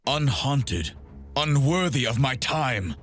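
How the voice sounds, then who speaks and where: A man voicing a grim, solemn undead swordsman from a video game, speaking in a measured, dramatic way over music. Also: medium pitch, lively intonation, a bit bright. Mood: cold disdain. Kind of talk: video game character voice acting.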